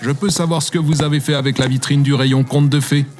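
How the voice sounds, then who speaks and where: A man's voice: mid-range voice, fairly even intonation, brisk, close to an everyday voice. An actor playing a stern, exasperated male toy shop boss in a French audio drama, curt and formally reproving. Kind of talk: French audio fiction acting. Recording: faint music behind the voice. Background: music.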